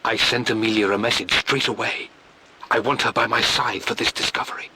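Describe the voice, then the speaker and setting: An actor playing a driven, troubled middle-aged aristocrat scholar in a video game, brooding in an intense monologue. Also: male voice, medium pitch, steady tone, a little fast, noisy background, natural voice. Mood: eager, earnest. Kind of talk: video game voice acting, recorded-memory monologue.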